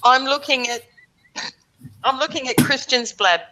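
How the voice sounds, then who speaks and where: An Australian woman thinking aloud on a video call, full of quick exclamations. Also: sits high, pushed and bright, low-quality recording. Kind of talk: casual chat.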